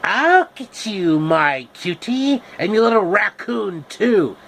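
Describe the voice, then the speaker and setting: A menacing wicked witch acted out by a man, pitched high and drawn out. The delivery is gloating and villainous, in storybook style, with some steady noise behind it. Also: takes it slowly, full character voice. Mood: gloating menace. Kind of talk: amateur storybook character acting.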